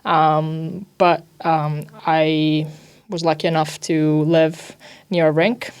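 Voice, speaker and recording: Young woman from italy, native italian speaker, kinda low voice, chatting casually in an interview, says like and you know a lot. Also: flat delivery, slow pace, darkish tone, clear, resonant tone. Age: young adult. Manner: informal, peppered with "you know" and "like". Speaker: ice hockey defender.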